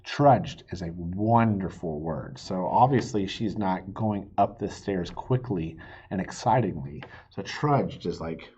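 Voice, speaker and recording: A man reading a children's book aloud in an expressive, animated way, pausing to explain things to young listeners. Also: deep voice, breathy edge, lo-fi sound. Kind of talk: expressive storybook read-aloud with asides.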